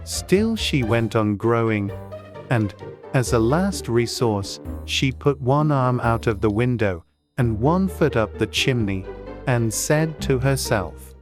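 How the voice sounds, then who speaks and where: A man narrating a storybook audiobook in a gentle, measured, good-humoured way. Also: mid-range voice, expressive, over music.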